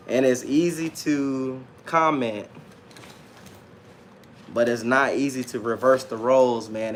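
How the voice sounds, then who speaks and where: Self-assured man talking over background noise. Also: mid-range voice. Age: late 30s. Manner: self-assured, slangy, repeats himself, often says "man". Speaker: livestream host and self-published author. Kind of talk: casual unscripted livestream monologue.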